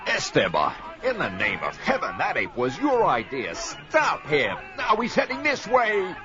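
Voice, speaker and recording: Casting: man playing flustered ship captain, high voice with big swings, exasperated, pulp adventure drama. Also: wildly swooping pitch, measured pace, a crowd in the background. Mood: alarmed. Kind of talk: audio adventure drama acting. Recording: dull lo-fi, music and clamour behind.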